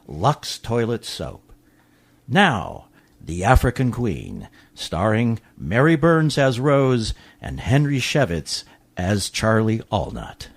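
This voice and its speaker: A man plays a grand old-time Hollywood radio host. His mid-pitched voice swings extremely widely as he delivers polished, theatrical narration and salesmanship. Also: full character voice. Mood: effusive. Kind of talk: 1940s radio hosting, scripted narration.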